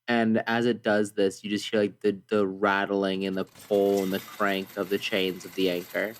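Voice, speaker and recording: A man's voice: on the low side, level tone, normal speed, slight background noise. A man playing a tabletop game, improvising descriptive narration of what his character does.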